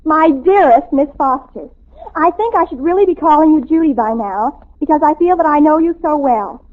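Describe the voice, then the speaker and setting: A woman's voice: high-pitched, animated, normal speed. A woman playing a dramatic, starstruck, self-important American teenage girl in a 1940s radio sitcom, gushing and theatrical. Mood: gushing, excited. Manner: gushing, theatrical, grandly affronted. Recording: tinny old radio recording.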